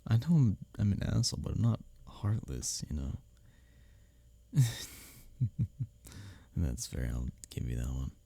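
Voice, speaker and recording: A man's voice: low-pitched, very animated, measured pace, creaky, long pauses, mellow, husky, no echo, exaggerated voice. A man playing a bickering but secretly caring workplace rival in an intimate ASMR audio roleplay, with a teasing, sardonic manner. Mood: gently concerned. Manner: intimate, teasing, sardonic, chuckling between lines. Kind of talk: ASMR audio roleplay, one-sided dialogue.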